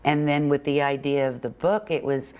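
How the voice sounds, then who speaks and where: A woman's voice: low-pitched, fairly even intonation, normal speed, darkish tone. An older woman answering conversationally as an interview guest, in a plainspoken, storytelling way. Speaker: interview guest, children's book author and illustrator. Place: TV morning show interview.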